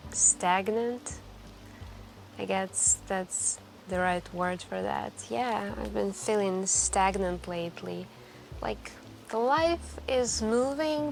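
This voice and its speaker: A woman playing a wistful, soft-spoken café stranger who confides intimately and hesitantly in an ASMR roleplay. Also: medium pitch, creaky. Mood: melancholy, confiding. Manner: intimate, hesitant, musing, with gentle pauses.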